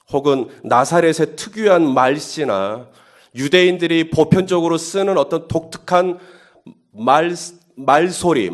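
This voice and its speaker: A male pastor preaching a Holy Week sermon. He talks in a conversational way and builds up to emphatic questions. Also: fairly high pitch. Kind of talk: sermon at early-morning Holy Week service.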